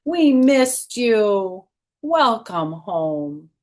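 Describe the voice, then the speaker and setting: A woman voicing an eager, insistent young child in a storybook reading, with excited, drawn-out questions. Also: mid-range voice.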